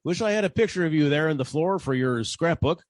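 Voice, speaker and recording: A man's voice: fairly high pitch, animated, a little fast, dry recording, natural voice. A man playing a wry, wisecracking 1940s private detective, narrating his case in old-time radio style. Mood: sardonic. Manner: dry, wisecracking.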